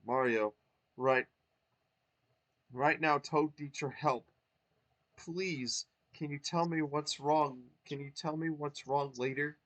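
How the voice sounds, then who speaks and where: A man's voice: a little high, fairly even intonation, slow pace, long pauses, a bit bright, pitched above the performer's own voice. A man voicing a young, timid male star creature who sounds earnest and anxious. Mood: pleading.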